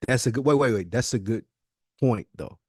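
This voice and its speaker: A man's voice: mid-range voice, moderate pace, a little mellow, slightly rough, dry recording, clean studio sound. A man co-hosting a casual podcast, talking in slang and pressing his points with repetition. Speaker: podcast co-host.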